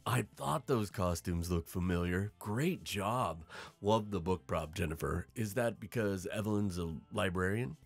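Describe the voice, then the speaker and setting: A man's voice: deep voice, a little slow, vocal fry, breathy edge, dry recording, soft background music, full character voice. A man playing a friendly boyfriend welcoming guests to a party in an audio drama. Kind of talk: naturalistic audio drama acting.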